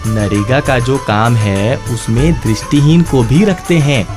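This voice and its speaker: Man acting slow-talking, cheerful villager. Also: medium pitch, close to an everyday voice. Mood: encouraging. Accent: rustic north Indian village speech. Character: cheerful, encouraging blind villager and family friend. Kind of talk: Hindi radio drama acting. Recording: music bed behind the voice.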